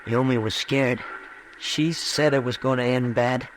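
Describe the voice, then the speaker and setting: An actor playing a heartbroken young frontier farm lad in a radio western, dazed, distraught and halting in a rustic way. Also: male voice, mid-range voice, steady tone, lightly acted, rough recording. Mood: hurt, despairing. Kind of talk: 1950s radio western acting. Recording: old radio broadcast, faint noise.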